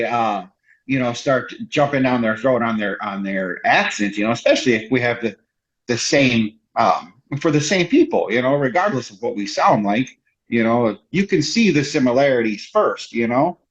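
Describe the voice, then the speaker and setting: A man, a guest in a casual chat, who talks haltingly with many restarts and "you know"s. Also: mid-range voice, a bit bright. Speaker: podcast guest. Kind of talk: casual conversation. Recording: dull lo-fi sound.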